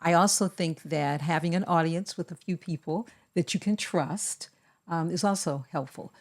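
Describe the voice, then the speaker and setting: A woman co-hosting a podcast, speaking in a measured, deliberate way as she gives advice in casual conversation. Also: on the low side. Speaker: podcast co-host.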